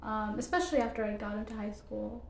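A woman's voice: mid-range voice, quick pace, vocal fry, roomy sound, slight background noise. A young woman from Ethiopia, a podcast guest, answering casually with many fillers and short laughs. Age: young adult.

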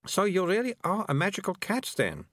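A man's voice: sits high, wildly swooping pitch, a little fast, fairly bright tone, no room sound, clean studio sound, pitched above the performer's own voice, exaggerated voice. A man voicing a bossy, imaginative young girl with a prim, know-it-all manner.

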